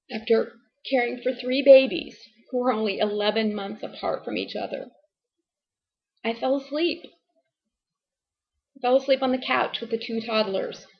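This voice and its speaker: Woman acting a distraught mom confessing to a detective, slow n strained, highish voice, kinda muffled lo-fi. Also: gravelly. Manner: halting, deliberate, emotionally strained. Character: distraught abused wife and grieving mother confessing to a detective. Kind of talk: dramatic confession monologue.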